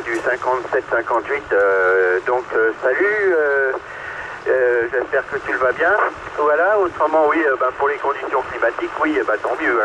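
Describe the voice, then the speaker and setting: A French man with a medium-pitched voice chats over CB radio. He is courteous and tends to repeat phrases. The transmission is noticeably noisy. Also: bright, forceful tone, dull audio. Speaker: amateur CB radio operator. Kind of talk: casual radio chat.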